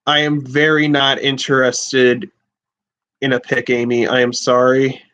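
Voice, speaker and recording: A man in his twenties from the USA, hosting a livestream from a small room at home, exclaiming and swearing with halting restarts. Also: mid-range voice, completely flat delivery, a bit bright. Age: 20s. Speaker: podcast host livestreaming. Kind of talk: excited casual livestream chat. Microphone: laptop and handheld phone. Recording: dull lo-fi stream audio.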